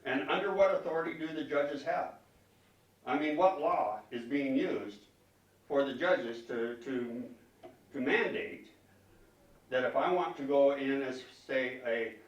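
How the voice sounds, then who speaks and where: A man speaks in an echoey room, some way from the microphone. He addresses officials as a member of the public, argumentative and emphatic, with halting phrases and repeated words. His pitch sits in an ordinary middle range. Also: far from the mic, muffled recording. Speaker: citizen speaking at a county commission meeting. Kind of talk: public comment, argumentative questioning. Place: public meeting room, indoors.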